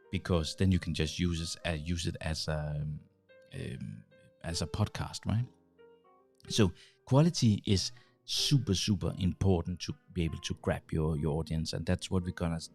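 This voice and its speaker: A man with a Danish accent talks straight into a studio boom mic, his voice low and deep. He speaks in a halting way, restarting words and filling pauses, with faint music under him. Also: darkish tone. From Denmark. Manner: halting, many restarts and fillers, gestures while talking. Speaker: host. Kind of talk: casual talk to camera. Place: small home studio with dim lights. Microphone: large studio mic on boom arm, headphones.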